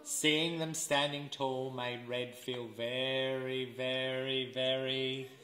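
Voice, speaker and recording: A man reading a children's storybook aloud as an educator, expressive and deliberate, pausing for listeners to fill in words. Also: medium pitch. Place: indoors, seated in a room with wooden floor. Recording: roomy indoor camera sound.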